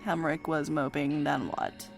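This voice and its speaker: A flippant, bratty vampire deity, acted by a woman in a fantasy audio drama. The voice is creaky and sarcastic, full of impatient slang. Faint music sits behind it. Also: sits low, fairly even intonation, unhurried. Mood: haughty. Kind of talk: visual-novel audio drama.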